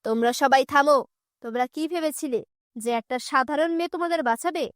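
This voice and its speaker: A woman's voice: high-pitched, fast, no room sound, studio quality, voice pushed down, close to an everyday voice. Woman doing a menacing male cartoon kidnapper. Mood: furious. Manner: threatening, curt. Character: menacing adult male kidnapper, bullying and greedy. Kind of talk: children's cartoon villain.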